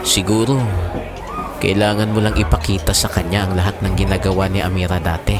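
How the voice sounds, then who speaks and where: Widowed father, played by a man, lowish voice, placating and earnest, audio drama with clear background music. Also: level tone, a little fast, a loud crowd, close to an everyday voice. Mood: reassuring. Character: widowed father, defensive yet tender with his sweetheart.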